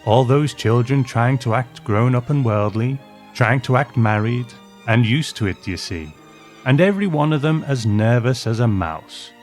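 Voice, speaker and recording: A man's voice: mid-range voice, expressive, normal speed, faint music behind, natural voice. A man voicing a hearty, opinionated old man who rambles fondly with old-fashioned exclamations, in a storybook reading. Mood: fond, amused. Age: older. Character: hearty opinionated old man reminiscing about his beloved ship.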